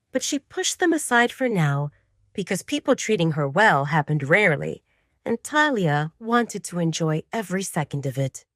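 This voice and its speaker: Expressive female narrator, very dry sound. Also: fairly low pitch, dry recording. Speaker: audio drama narrator. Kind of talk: scripted romance fiction narration.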